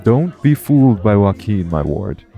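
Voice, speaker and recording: A man playing a deep, mellow guardian angel. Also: big pitch swings, gravelly, faint music behind. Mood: earnest, cautioning. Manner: formal, measured, explanatory. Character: calm, earnest guardian angel, protective and formal. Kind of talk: audio roleplay acting, addressed to the listener.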